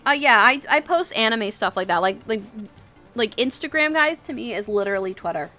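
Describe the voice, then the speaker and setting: A woman's voice: on the high side, expressive, a little fast, pushed and bright. A woman chatting casually and informally as a livestream host, with an encouraging manner. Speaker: livestream host and digital artist. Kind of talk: casual livestream chat while drawing. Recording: music playing behind the voice. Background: music.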